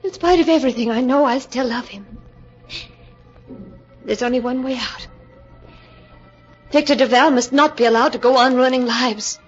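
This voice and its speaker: A woman's voice: sits high, moderate pace, pauses often, natural voice. An actress playing a distraught young woman torn between love and hatred, in an intense, theatrical 1940s radio melodrama style. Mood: anguished, resolute. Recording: dull 1940s radio recording with music.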